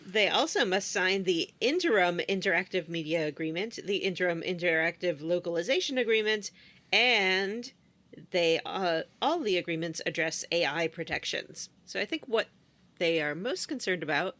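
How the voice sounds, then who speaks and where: Woman doing the news bit on a game podcast, low-ish but bright voice, dry humor. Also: expressive, normal speed, no echo. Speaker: podcast co-host. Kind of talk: casual chat, news segment.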